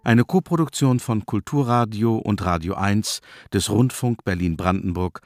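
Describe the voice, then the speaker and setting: A man's voice: lowish voice, normal speed, darkish tone. A man announcing radio drama credits in a scripted, formal delivery. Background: prominent guitar music.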